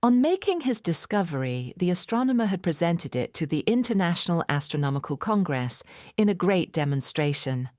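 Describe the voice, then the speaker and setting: A woman narrating a children's storybook in a measured, clearly phrased, expressive bedtime-story manner. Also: lowish voice, no room sound, clean studio sound. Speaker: audiobook narrator.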